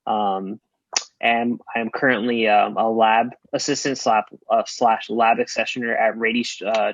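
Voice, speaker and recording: A man's voice: medium pitch, vocal fry, fairly bright tone, no echo, highs cut off. A man on a video call, introducing himself on a panel, hesitant with many fillers. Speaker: panelist, lab assistant. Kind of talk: casual panel talk.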